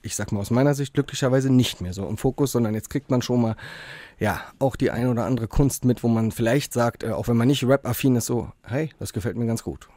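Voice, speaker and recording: A man's voice: medium pitch, fairly quick, dark tone, no echo. A man with a Berlin-Brandenburg accent, a musician guest chatting casually in a radio interview, with lots of fillers and repeated agreement. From Brandenburg, Germany.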